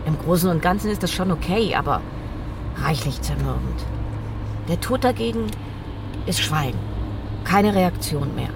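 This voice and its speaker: A woman's voice: on the low side, expressive, normal speed, vocal fry, pauses often, far from the mic, background noise. An actress playing a restless, talkative, exasperated woman in her forties, in naturalistic radio drama dialogue. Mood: weary, brooding. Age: 40s. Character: restless, talkative, exasperated woman around forty on a road trip. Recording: music under the dialogue.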